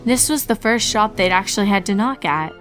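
A woman's voice: mid-range voice, lively intonation, brisk, a bit bright. Woman narrating a story over music. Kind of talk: scripted fan fiction story reading. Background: background music.

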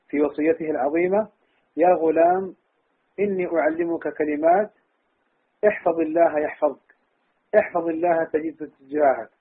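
Man, very rapid speech, husky, religious scholar, instructive, narrow telephone sound. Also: a little high. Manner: enumerating, instructive. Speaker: Islamic scholar. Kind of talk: religious advice, speaking off the cuff in a question and answer session. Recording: tinny, muffled phone-line sound.

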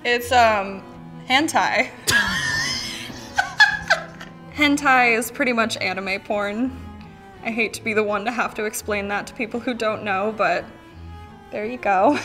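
A woman's voice: fairly high pitch, unhurried, long pauses, music in the background, low-quality recording. A woman of twenty-two, chatting casually as she scrolls her sister's phone, often giggling. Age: 20s. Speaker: participant. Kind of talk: casual reality-show commentary.

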